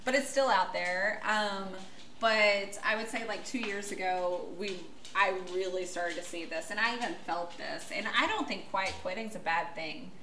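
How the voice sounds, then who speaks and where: A woman from the United States, a podcast guest, talking bluntly and loudly, laughing as she goes. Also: medium pitch, normal speed, slight background noise. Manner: loud, outspoken, blunt, laughing. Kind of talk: casual podcast chat. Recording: echoey room.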